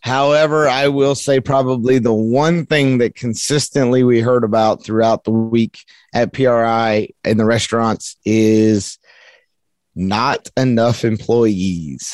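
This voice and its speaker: Male co-host, mid-range voice, unhurried and plain-spoken; dry, close-mic sound. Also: medium pitch, darkish tone, no room sound. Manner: teasing, plain-spoken, measured. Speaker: podcast co-host. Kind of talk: casual podcast chat.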